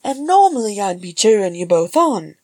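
A woman acts out a cheerful dwarf husband in a fantasy storybook. He is homely and genial, calling out in a raised, slightly husky voice. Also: mid-range voice, sing-song, normal speed, dark tone, dry recording, clean studio sound, voice pushed up.

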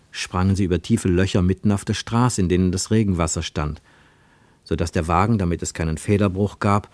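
A man narrating a literary radio play in a measured, precise, wry storytelling manner. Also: fairly low pitch, a little mellow, dry recording, close to an everyday voice. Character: measured, wry male narrator of a travel tale.

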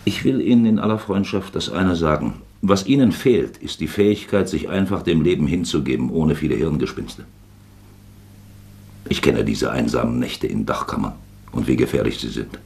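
An actor in a German radio drama playing a shrewd, paternal investigating official who speaks deliberately and persuasively. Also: male voice, very deep voice, creaky, a little mellow. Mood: fatherly, probing. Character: shrewd, self-admittedly plump male investigating official, paternally probing.

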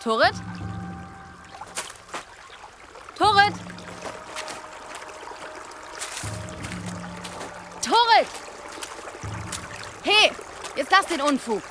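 A woman's voice: extremely high pitch, very animated, slow pace, over music, heavily acted. An actress playing a cheeky, blunt village girl in casual, naturalistic audio drama dialogue. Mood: calling, uneasy. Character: cheeky, blunt, teasing village girl who hunts and forages.

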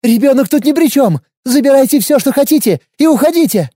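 Man voicing a fierce young mother: very high, strained, shouting, dry studio sound. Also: expressive, a little fast, no echo. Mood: desperate. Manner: pleading, shouting. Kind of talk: audiobook character voice.

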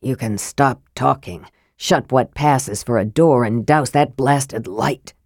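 A woman's voice: a bass voice, slow pace, darkish tone, no room sound, clean studio sound, voice pushed down, exaggerated voice. A woman voicing a weak, bitter male mage in a fantasy storybook, muttering and snarling with sardonic irritation. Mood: curt, annoyed.

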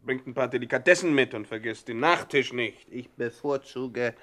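Ein Schauspieler spielt einen herrischen, ungeduldigen Bandenchef in einer Krimikomödie im Radio, knapp, kommandierend und spöttisch. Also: male voice, medium pitch, normal speed, sharp tone, natural voice. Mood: commanding. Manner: commanding, curt, mocking. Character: gruff, bossy male gang boss, impatient and scornful. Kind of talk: 1960s German radio crime comedy acting.

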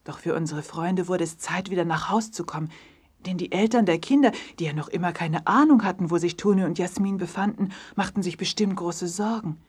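A woman's voice: on the low side, brisk, a little mellow, breathy edge. A woman narrating a children's fairy tale in a scripted storytelling style.

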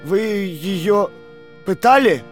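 A halting, high-voiced male prisoner. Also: animated, faint music behind, exaggerated voice. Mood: anxious, probing. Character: weakened male prisoner, questioning, stubbornly clinging to reason. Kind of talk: dramatic audio play acting.

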